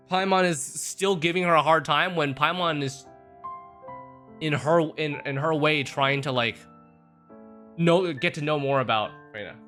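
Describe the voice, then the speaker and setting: A man's voice: a little high, sharp tone. A man giving casual, rambling, sweary commentary as a game livestreamer. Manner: rambling, repeats phrases, sweary. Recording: game music behind the voice.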